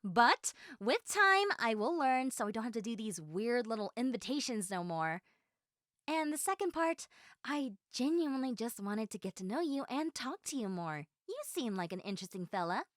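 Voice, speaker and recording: Woman playing a bubbly, high-voiced, awkward chatterbox girl. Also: sing-song, moderate pace, a bit bright, no room sound, clean studio sound. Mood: earnest, bashful. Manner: bubbly, rambling, self-deprecating, talking straight to the listener. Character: quirky, socially awkward, chatty young woman eager for friends. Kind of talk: one-sided audio roleplay addressed to the listener.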